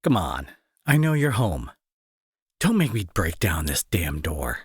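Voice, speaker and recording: A man's voice: low voice, wildly swooping pitch, measured pace, husky, full character voice. A young man playing an irritable, sarcastic bully who scolds with hidden worry, in an intimate roleplay audio drama. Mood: irritated, demanding. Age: young adult. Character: irritable young male bully, gruff but secretly worried.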